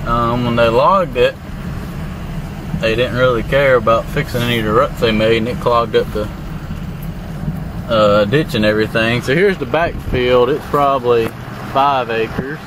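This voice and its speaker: A man giving a relaxed, plain-spoken tour of his land, walking and then driving. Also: mid-range voice, a little slow, long pauses. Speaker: landowner showing his farm. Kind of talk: casual walk-and-drive tour narration. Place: outdoors in fields, then inside a moving pickup truck. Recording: clear background noise of truck engine and outdoors.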